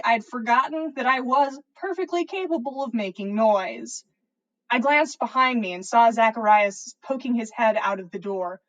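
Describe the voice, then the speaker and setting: A woman with a fairly high voice narrates fiction aloud. She reads as a podcast host would, giving the story expression for her listeners. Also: poor audio.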